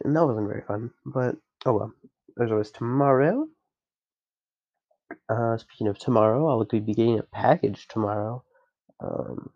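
Stuffy young man, gravelly and lo-fi. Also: mid-range voice, warm tone. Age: young adult. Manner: rambling, filler-heavy. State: stuffy nose. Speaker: podcast host. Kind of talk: casual solo chat.

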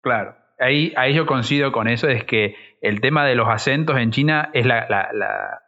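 A man's voice: mid-range voice, normal speed, bright, forceful tone. A man, speaking emphatically and conversationally as a podcast co-host. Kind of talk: casual panel discussion. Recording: slightly dull lo-fi sound.

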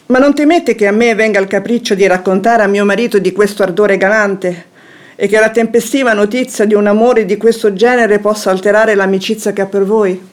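An actress playing a poised, discreet noble wife in a radio drama, speaking in a measured, formal, coolly tactful way. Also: female voice, mid-range voice, fairly even intonation, close to an everyday voice. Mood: coolly probing. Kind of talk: theatrical radio drama acting.

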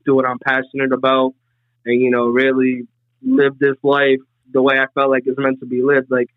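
A man's voice: mid-range voice, completely flat delivery, normal speed, no echo. A man in his twenties, a podcast guest, talking casually and rambling with lots of "you know" fillers over a remote line. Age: 20s. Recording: tinny phone-like remote line.

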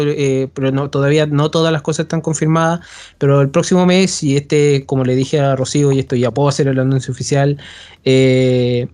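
A man's voice: fairly high pitch, a little mellow. A man hosting a podcast, talking casually and rambling with frequent restarts. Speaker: podcast host.

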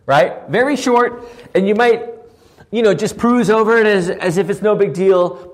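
A man with a hoarse voice teaching a Bible passage, asking questions and answering them himself. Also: high-pitched. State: losing his voice, hoarse. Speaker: pastor teaching a Bible study.

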